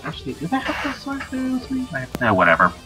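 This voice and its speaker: A man's voice: extremely high pitch, wildly swooping pitch, quick pace, pushed and bright, soft background music. A man in casual chat on a game stream, talking in a rambling, explanatory way.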